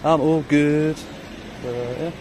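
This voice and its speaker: A man's voice: fairly high pitch, steady tone, takes it slowly, noisy background. A man with a British accent films officers on a public footpath and questions them persistently about his rights. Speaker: member of the public filming officers. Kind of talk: confrontational street exchange.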